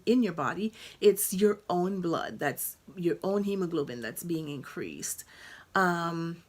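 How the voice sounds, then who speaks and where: Slow, thoughtful woman doctor. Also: lowish voice. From Guyana. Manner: unhurried, thinks aloud with frequent ums and restarts. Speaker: doctor and patient advocate. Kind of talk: informal medical explainer.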